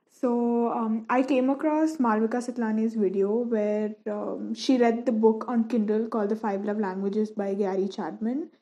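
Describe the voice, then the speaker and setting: A young woman whose first language is Malayalam talks in a loose, chit-chatty way, filling pauses with little ums and uhs as she paints. Her voice sits slightly high for a woman. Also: dark tone. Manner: chatty, many fillers and restarts. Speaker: painting vlogger. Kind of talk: casual chat over a painting session.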